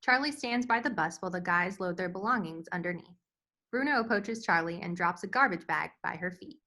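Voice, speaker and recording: A woman's voice: lowish voice, animated, fairly quick, pushed and bright. A woman reading screenplay stage directions as scripted narration in a table read.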